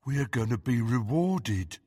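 A man voicing a hulking, slow-witted teenage bully wizard from a storybook, blunt, ungrammatical and belligerent. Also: mid-range voice, expressive, a little mellow, husky, no room sound, clean studio sound. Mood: smug.

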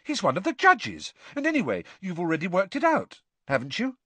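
A man's voice: fairly low pitch, huge pitch swings, unhurried, bright, forceful tone, breathy edge, dry recording, exaggerated voice. A man voicing an earnest, brainy teenage schoolgirl in an audiobook, insistent and disapproving. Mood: shocked disapproval.

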